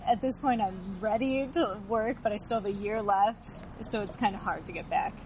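A young woman from Illinois chats easily with an interviewer, her voice sitting in a middle pitch. She answers in loose, friendly sentences. Heavy traffic noise sits under everything. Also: normal speed. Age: young adult. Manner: chatty, laughs often. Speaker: student interviewee. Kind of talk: casual field interview answers. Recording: loud traffic noise behind.

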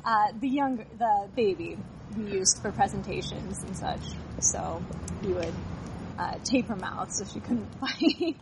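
A young woman from Illinois chats easily with an interviewer, her voice sitting in a middle pitch. She answers in loose, friendly sentences. Heavy traffic noise sits under everything. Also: big pitch swings, measured pace, gravelly. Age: young adult. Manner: chatty, laughs often. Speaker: student interviewee. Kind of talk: casual field interview answers. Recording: loud traffic noise behind.

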